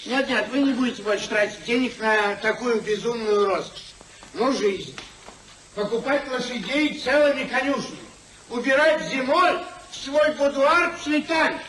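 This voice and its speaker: A man's voice: very high-pitched, fairly bright tone, slight background noise, poor audio. An older man acting a fussy, exasperated elderly English husband in a theatrical 1950s radio comedy, with indignant, emphatic delivery. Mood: indignant, scolding. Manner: indignant, emphatic, drawn-out exclamations. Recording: 1950s radio drama recording.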